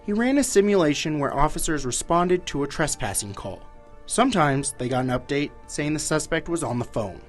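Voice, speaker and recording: A man's voice: on the high side, animated, fairly quick, music in the background. An American man narrating a scripted television news report as a reporter.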